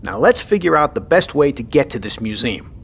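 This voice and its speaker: A man's voice: medium pitch, very animated, fairly quick, vocal fry, a little hiss or hum. A man playing a stern, duty-bound military captain in an audio drama. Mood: measured, determined.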